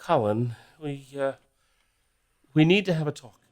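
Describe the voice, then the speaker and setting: A man's voice: fairly high pitch, animated, measured pace, background noise. A man playing a middle-aged husband and father in an audio drama, weary, resigned and hesitant. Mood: awkward, hesitant.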